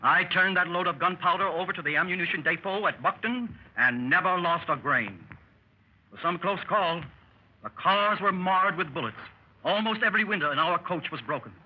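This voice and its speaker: A man's voice: high-pitched, animated, moderate pace, slightly rough. An actor playing a dashing lieutenant adventurer telling his escapade with old-fashioned exclamations, in 1930s radio style. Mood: jaunty recounting. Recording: muffled 1930s radio recording with music.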